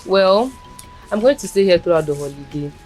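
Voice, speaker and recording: An actress playing a caring teenage granddaughter, gentle and reassuring. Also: female voice, on the low side, lively intonation, moderate pace, faint music behind, close to an everyday voice. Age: teens. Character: devoted young granddaughter, caring student.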